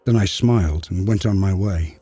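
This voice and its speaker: A man narrating a story in the first person, measured and formal. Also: low voice, warm tone, husky, dry recording.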